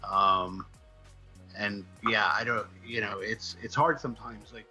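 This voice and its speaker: A man chatting casually as an interview guest, halting and self-deprecating as he thinks out loud. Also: fairly low pitch, steady tone, soft background music, rough recording. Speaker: guest, comic book writer.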